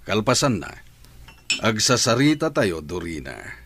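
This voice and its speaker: A middle-aged father in an Ilocano-accented Filipino radio drama. His voice is on the low side, with wide, emphatic swings. He sounds firm, stern and in charge. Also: big pitch swings, a bit bright, slight background noise, exaggerated voice. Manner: firm, measured, authoritative.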